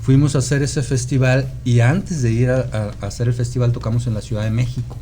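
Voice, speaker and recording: A man with a Guadalajara Mexican accent, a musician guest telling stories in casual conversation, halting and meandering, often seeking agreement. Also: medium pitch, fairly even intonation, mellow, faint music behind. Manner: halting, meandering storyteller who seeks confirmation with "¿no?". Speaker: podcast guest, rock musician.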